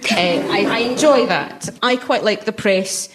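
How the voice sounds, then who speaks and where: A woman's voice: mid-range voice, measured pace. A woman telling wry, hesitant comic anecdotes as a panellist on a comedy show, in front of a laughing audience. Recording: noisy live recording with audience laughter. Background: studio audience laughter.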